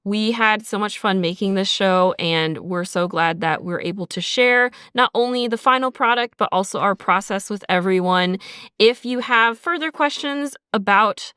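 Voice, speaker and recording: A woman's voice: medium pitch, normal speed, pushed and bright, clean tone, dry recording, studio quality. A woman co-hosting a podcast in an informal, encouraging way, chatting casually with lots of "like". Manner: informal, encouraging, frequent "like" and "sort of". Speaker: podcast co-host and sound designer. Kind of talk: casual conversational podcast talk.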